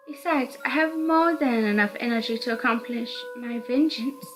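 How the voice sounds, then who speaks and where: A seductive, malicious immortal mistress for a visual novel game, put on by a woman. Her voice sits high and she speaks in commands, gloating and condescending. Music plays behind her. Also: moderate pace, lightly acted, poor audio. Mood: commanding, seductive. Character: seductive, proud, malicious supernatural mistress claiming a servant.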